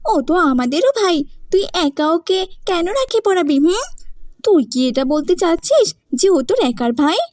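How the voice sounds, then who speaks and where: Casting: argumentative, haughty younger sister for a cartoon, acted by a woman, very high voice, lively swings. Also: moderate pace, dry recording, clean studio sound. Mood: indignant. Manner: sharp, argumentative. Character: defiant, quarrelsome younger sister, a boastful engineer. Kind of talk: cartoon voice acting.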